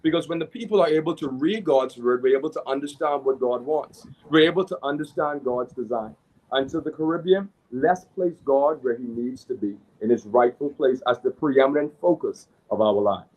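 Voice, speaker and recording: A man's voice: mid-range voice, normal speed, a little mellow, rough recording. A man from the Bahamas, a reverend making an earnest, preaching-style address. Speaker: reverend, guest speaker.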